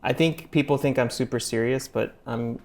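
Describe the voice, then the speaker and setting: A middle-aged man who grew up in Taiwan, a chef talking in a relaxed, deadpan way with many fillers during a casual interview. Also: mid-range voice, normal speed. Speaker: chef and restaurateur guest.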